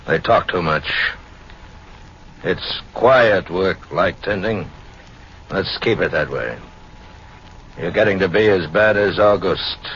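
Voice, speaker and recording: An actor playing a big, taciturn head lighthouse keeper, curt and surly, in a vintage radio drama. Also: male voice, deep voice, slow, pauses often, fairly bright tone. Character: big, silent, gruff head lighthouse keeper who dislikes people. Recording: muffled old radio recording, faint noise.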